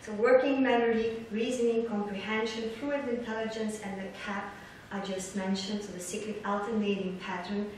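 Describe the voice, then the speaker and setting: A middle-aged woman giving an academic conference lecture, explaining haltingly as she searches for words and repeats herself. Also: medium pitch, fairly even intonation. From Belgium. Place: large lecture hall, indoors, at a lectern. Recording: echoey hall from far off, background noise.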